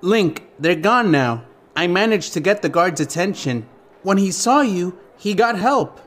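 A man's voice: high voice, animated, measured pace, a bit bright, pitched above the performer's own voice, exaggerated voice. A man voicing a tiny, feisty and caring female fairy in a story, earnest and spirited. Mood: hurried, reassuring.

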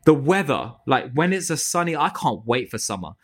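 A man's voice: mid-range voice, moderate pace. A man, a tree surgery business owner, chatting casually on a podcast with restarts and swearing. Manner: animated, swears freely, lots of restarts.

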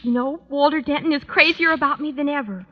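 High-voiced schoolgirl played by a woman, muffled radio. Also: fast talker, a little hiss or hum, close to an everyday voice. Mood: smug, gushing. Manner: girlish, gushing, coyly superior. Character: prim, self-assured teenage high school girl. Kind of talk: 1940s-50s radio sitcom acting. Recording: muffled old radio recording.

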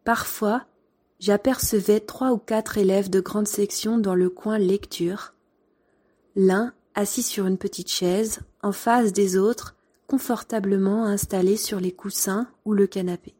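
A French woman with a light Angevin accent, a former schoolteacher gently narrating her own classroom memories. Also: mid-range voice, steady tone, husky. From France. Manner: gentle, unhurried storytelling. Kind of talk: first-person story narration.

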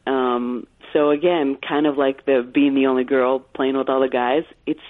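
A woman sportscaster with a low voice, answering interview questions frankly and laughing easily. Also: fairly even intonation, normal speed, no room sound. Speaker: play-by-play sportscaster, guest. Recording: phone-like line, dry sound.

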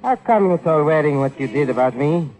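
An actor playing a surly, suspicious small-time crook with blunt, slangy tough talk in a mid-century radio crime drama. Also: male voice, a little high, expressive, a little fast, darkish tone, soft background music, close to an everyday voice, rough recording. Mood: confrontational.

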